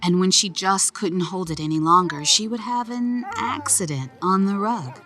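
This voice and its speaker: A woman narrator reading a children's story in a gentle, expressive storytelling manner. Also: mid-range voice, normal speed, faint music behind.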